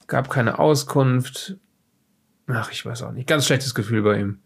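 A man playing a haughty, evasive witness under police questioning in an audio drama, halting and correcting himself as he talks. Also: medium pitch, vocal fry, slightly rough. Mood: indignant, dismissive. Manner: hesitant, halting, indignant, with self-corrections. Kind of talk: improvised in-character audio drama dialogue.

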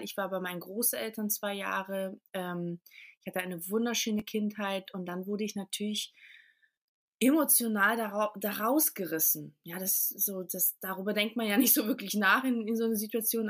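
A woman from Portugal, a podcast interview guest, telling her personal story in a reflective way with many pauses and restarts. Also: mid-range voice.

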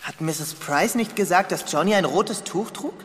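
A woman's voice: fairly low pitch, normal speed, slight background noise, close to an everyday voice. A woman voicing an eager young boy toy figure who leads adventures in a German children's audio drama. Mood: eager, confident.

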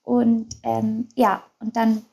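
A woman's voice: fairly high pitch, monotone, slow pace, vocal fry, dark tone. A young woman as an interview guest, talking openly and chattily with many fillers and restarts. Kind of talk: casual personal interview.

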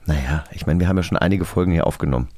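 A man's voice: a bass voice, very fast, warm tone. A man hosting a podcast in casual, teasing, bantering chat. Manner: teasing, joking. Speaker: podcast host.

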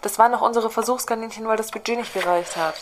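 A woman playing a bossy, self-satisfied female office worker in a comedy sketch, brisk and know-it-all. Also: a little high, a little hiss or hum, close to an everyday voice.

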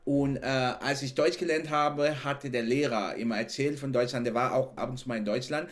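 A man's voice: mid-range voice, normal speed. Man, laughing often. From Cameroon. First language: not German. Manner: animated, laughs often, repeats "genau" and "ne". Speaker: podcast guest. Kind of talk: casual interview chat.

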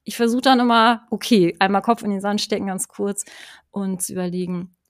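A woman's voice: medium pitch, steady tone, brisk, no room sound. Middle-aged woman chatting away as a guest, lots of ums and "ne?", dry close sound. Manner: chatty, many fillers and tag questions. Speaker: guest, online course creator. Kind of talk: conversational interview.

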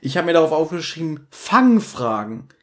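A man co-hosting a podcast, chatting casually and retelling an audio drama in an animated way. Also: a little high, moderate pace, a little hiss or hum. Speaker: podcast host.

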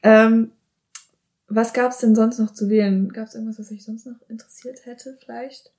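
A woman's voice: on the high side, flat delivery, moderate pace, darkish tone. A young German woman hosting a podcast, chatting casually with seminar participants and asking friendly follow-up questions in a wooden hut. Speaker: podcast host. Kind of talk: casual interview. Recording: roomy echo.

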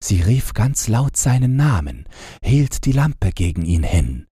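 A male audiobook narrator reads a bedtime fairy tale at a slow pace. His voice is dark and very husky, with expressive swings in pitch. It is scripted storytelling meant for falling asleep. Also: no room sound, studio quality.

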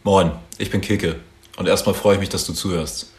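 A young man in his twenties from Hamburg co-hosting a podcast, relaxed and friendly, with fillers. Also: low-pitched, normal speed. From Hamburg, Germany. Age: 20s. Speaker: podcast co-host. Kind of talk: casual podcast chat.

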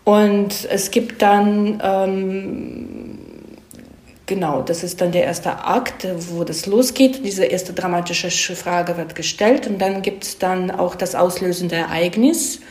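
A woman being interviewed as a screenwriter, explaining conversationally, haltingly and with digressions, often tagging questions onto her phrases. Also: lowish voice, a little mellow. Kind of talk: conversational explanation of storytelling craft. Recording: echoey room.

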